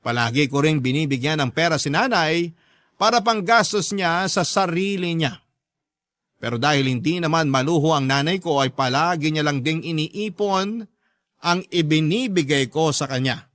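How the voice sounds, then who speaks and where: A devoted grown child telling a life story for Filipino radio drama. The voice is a bit high, earnest and sentimental, recorded close and dry. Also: male voice, lively intonation, moderate pace, a bit bright, no echo. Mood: earnest, proud. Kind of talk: scripted first-person narration in radio drama.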